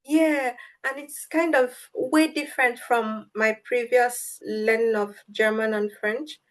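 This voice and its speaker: A woman's voice: a little high, fairly even intonation, slow. A young woman with a Nigerian accent, a guest in an interview, earnest and reflective with light amusement. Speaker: guest, language advocate. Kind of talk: interview answers.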